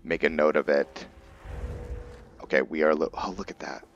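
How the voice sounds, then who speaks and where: A man's voice: very deep voice, steady tone, moderate pace, gravelly, highs cut off. A man giving casual game commentary to viewers, rambling as he thinks aloud and explains things. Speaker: gameplay video creator, player. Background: music.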